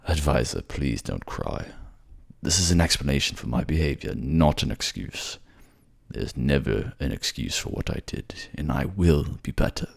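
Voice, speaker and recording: Man voicing a cursed fantasy lover, extremely low pitch, creaky, weary, soft, halting, guilt-ridden, tender. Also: big pitch swings, exaggerated voice. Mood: soothing, remorseful. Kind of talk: fantasy audio drama roleplay.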